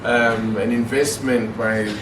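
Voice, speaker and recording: A loud, jovial man emcees an event with an informal manner. He sounds distant in a reverberant hotel function room. Background noise carries under the speech. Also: medium pitch, fairly even intonation, slow pace. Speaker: master of ceremonies. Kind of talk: event hosting. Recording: echoey room from far off, noisy.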